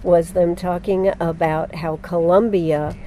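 An older woman, chatting unhurriedly as a radio host. Her voice is low-set and mellow, and she speaks into a handheld mic out on an open lawn. A faint outdoor hum sits behind her. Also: steady tone. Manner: chatty, unhurried, many fillers. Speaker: radio interviewer. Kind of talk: live radio interview. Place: outdoors on a lawn at a golf course.

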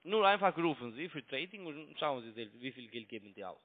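A man's voice: medium pitch, very animated, fairly quick. A man with a foreign accent, not a native German speaker, arguing defensively and repetitively as a phone caller, in broken grammar. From outside Germany. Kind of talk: argumentative phone conversation. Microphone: telephone. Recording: tinny narrowband phone line.

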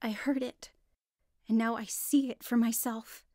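A woman playing an earnest, anxious young wife seeking faith in a radio drama, heartfelt and expressive. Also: a little high, a little slow, no room sound, studio quality, lightly acted. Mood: amazed, sincere. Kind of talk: radio drama acting, dialogue and narration.

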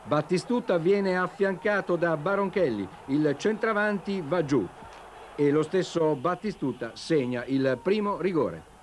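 A man's voice: a little high, expressive, unhurried. A man narrating a scripted football match report as a television sports reporter. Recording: TV broadcast with faint stadium noise.

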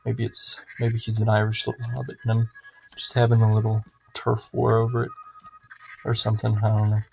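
A man's voice: lowish voice, completely flat delivery, a little fast, vocal fry, darkish tone, poor audio. A man giving casual, rambling gameplay commentary, swearing and muttering to himself as he plays. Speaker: gaming video host. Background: video game music.

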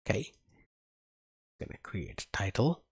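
A man narrating a coding tutorial as an instructor, speaking haltingly as he types along, with a husky voice. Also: dry recording, clean studio sound. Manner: halting, talks while typing. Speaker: programming instructor.

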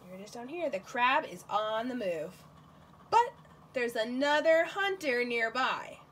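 A woman's voice: a little high, expressive, measured pace, a bit bright, slight background noise. A woman reading a picture book aloud to young children as their teacher, expressively, often putting questions straight to them.

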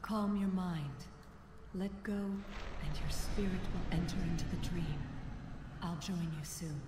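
A woman's voice: on the low side, a little slow, distant mic, background noise, lightly acted. A woman playing an earnest, purposeful druid guide in a fantasy video game. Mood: calm resolve. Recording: echoing reverb under loud music.

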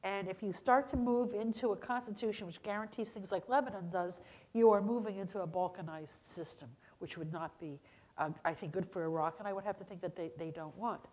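A woman's voice: medium pitch, a little fast, a little mellow. An older woman speaking emphatically as a panelist on a lecture hall stage. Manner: emphatic, explanatory. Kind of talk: panel discussion.

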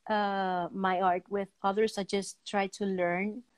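Woman in her fifties, talk show host, casual, halting delivery, medium pitch, dry close sound. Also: little pitch movement, no echo. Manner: hesitant, pausing mid-sentence to search for words. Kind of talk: casual interview chat about art. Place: indoor room at home, on a video call.

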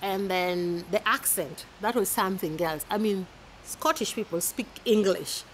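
A woman in her thirties from Kenya with a Kenyan accent, giving casual advice to camera in a chatty, animated way, filmed outdoors in a garden. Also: medium pitch, a little slow, slight background noise, rough recording. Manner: chatty, animated, laughs often, with repeated restarts. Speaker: vlogger. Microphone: camera at arm's length.

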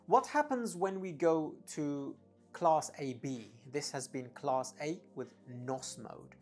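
A man in his thirties giving a product review to camera, with emphatic, deliberate phrasing and pauses. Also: fairly high pitch, animated, a little mellow, faint music behind. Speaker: audio gear reviewer. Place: dim indoor home studio room. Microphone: lapel clip-on mic.

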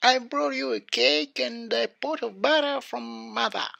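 Man as a wolf faking a high, sweet voice. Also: a little slow, vocal fry, sharp tone, exaggerated voice. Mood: falsely sweet. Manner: falsely sweet. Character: wicked wolf posing as sweet granddaughter or old granny. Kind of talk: storybook character voice.

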